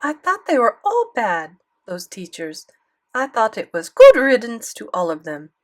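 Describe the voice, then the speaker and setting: A woman's voice: a little high, wildly swooping pitch, measured pace. A woman voicing an old French grandmother, doting yet commanding, with a thick French accent in an audiobook. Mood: surprised, curious. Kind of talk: audiobook character dialogue.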